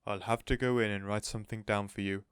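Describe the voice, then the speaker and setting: A man playing a shy, lovestruck gentleman who speaks politely and earnestly in a storybook reading. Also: fairly low pitch, fairly even intonation, fast talker, smooth, no echo, studio quality, close to an everyday voice. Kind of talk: storybook character voice in audiobook reading.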